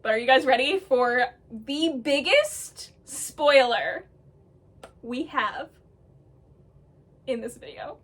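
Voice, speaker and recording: A woman vlogger gushing about her own characters in casual talk, excitable and full of "um" fillers. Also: high-pitched, slow pace, pauses often. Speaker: storyteller vlogger.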